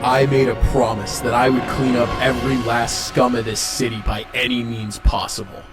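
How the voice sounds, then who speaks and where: A man's voice: medium pitch, flat delivery, moderate pace, music in the background, close to an everyday voice. A man voicing a defiant, sardonic young masked vigilante in a motion comic, cool, taunting and understated. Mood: curt.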